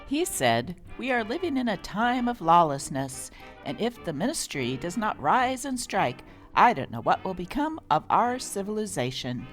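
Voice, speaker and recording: A woman's voice: mid-range voice, sing-song, normal speed, over music. A woman hosting and reading old newspaper articles aloud in a scripted narration style.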